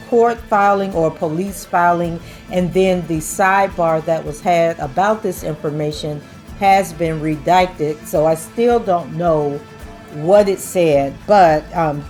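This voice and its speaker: Hoarse-voiced woman explaining court procedure. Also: lowish voice, a little mellow. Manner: explains step by step, many "and so" links. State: losing her voice. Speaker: commentator reading court transcripts. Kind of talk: casual commentary, reading aloud.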